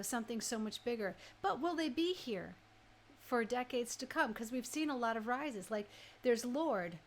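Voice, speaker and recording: High-voiced woman DJ chatting casually. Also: slight background noise. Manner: conversational, rhetorical questions and frequent tag questions. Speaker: radio DJ and host. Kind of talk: casual music chat to live listeners.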